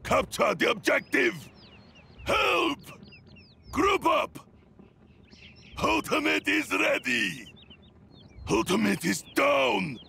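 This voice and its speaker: An actor playing a boisterous, bloodthirsty oni demon warrior for a video game, with a raspy, theatrical, menacing delivery. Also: male voice, extremely high pitch, very animated, takes it slowly, long pauses, sharp tone, full character voice. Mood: urgent commands. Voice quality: raspy, gravelly. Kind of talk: video game character voice lines.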